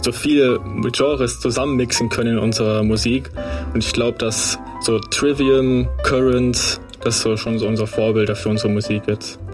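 A man's voice: mid-range voice, fairly even intonation, moderate pace, over music. A nineteen-year-old man from near Starnberg, a band guitarist, speaking hesitantly and searching for words in a radio interview. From near Starnberg, Bavaria.

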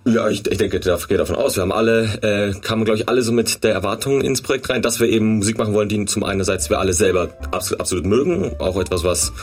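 A man's voice: on the low side, slightly rough, faint music behind. A man, singer and producer of a band, explaining at length as a guest in a radio studio, with many fillers. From Munich area. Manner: quick, rambling explanations with fillers.

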